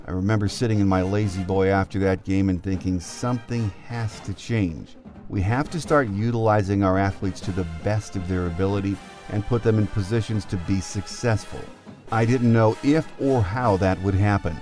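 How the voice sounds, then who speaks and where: A man with a fairly low voice hosts a sports podcast. He reads a prepared fan monologue, recorded dry and close. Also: warm tone, no echo. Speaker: podcast host.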